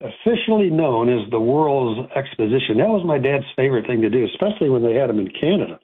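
A man's voice: medium pitch, sing-song, fast talker, slightly rough, phone-quality sound. A man from Rochester, New York, hosting an antiques radio show in a chatty, rambling way, often correcting himself mid-sentence. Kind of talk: casual call-in radio talk.

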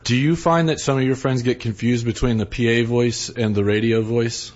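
Radio host guy, husky lowish voice, laid back chatty, kinda dull lo-fi sound. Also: moderate pace. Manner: relaxed, chatty, says "man" often. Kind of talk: casual interview chat.

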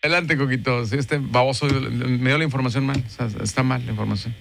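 A man's voice: mid-range voice, steady tone, brisk, gravelly, a bit bright, slight background noise. A man, hosting a radio prank call show, joking and crude with loose slang. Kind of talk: casual radio chat.

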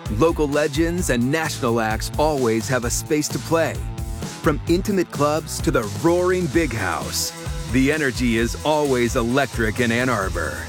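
A man narrating a scripted radio promo with dramatic, promotional flair. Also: mid-range voice. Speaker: commercial announcer.

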